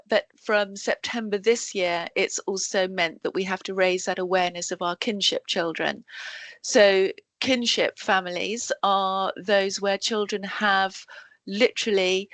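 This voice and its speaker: A woman giving a work presentation over a video call, talking at a middle pitch with plenty of ums and restarts. The sound is dry and close, with no room around her voice. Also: fairly even intonation, fairly bright tone, no echo. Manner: halting, many ums and self-corrections. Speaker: education support officer presenting to colleagues.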